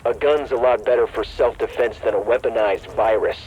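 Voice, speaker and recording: A man's voice: mid-range voice, fairly quick, a little mellow, a little hiss or hum, lightly acted, poor audio. A man voicing a skeptical henchman who questions his boss in a doubtful tone.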